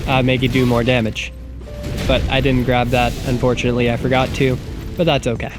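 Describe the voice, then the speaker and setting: A man's voice: medium pitch, flat delivery, fairly quick, over music. A man with a stuffy, nasal voice from a cold, chatting casually and self-deprecatingly as he narrates a video game run over his footage. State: getting sick, congested. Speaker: gaming YouTuber. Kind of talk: casual gameplay commentary voice-over.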